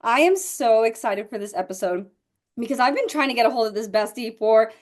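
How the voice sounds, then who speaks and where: High-voiced enthusiastic young woman podcaster. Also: lively intonation, quick pace. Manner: slangy, enthusiastic. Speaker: podcast host. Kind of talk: casual chatty interview.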